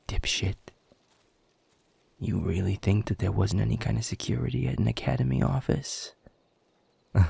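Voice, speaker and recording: Audio roleplay werewolf enforcer, played by a man: very husky, aggressive, sarcastic, dry close sound. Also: fairly low pitch, warm tone, dry recording, full character voice. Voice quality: gravelly, husky. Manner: taunting, sarcastic, swearing. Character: aggressive, foul-mouthed male werewolf pack beta.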